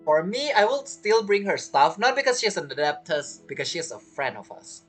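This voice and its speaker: A woman's voice: lowish voice, big pitch swings, moderate pace, faint music behind. A woman giving casual, chatty gameplay commentary as a streamer, with teasing asides. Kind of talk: casual game playthrough commentary.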